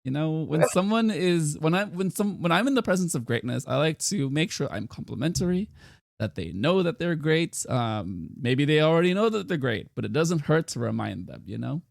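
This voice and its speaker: Male podcast host, gravelly edge, dry close mic, laid-back teasing delivery. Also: fairly high pitch, moderate pace, no echo. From United States. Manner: relaxed, teasing, many fillers. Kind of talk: casual podcast chat.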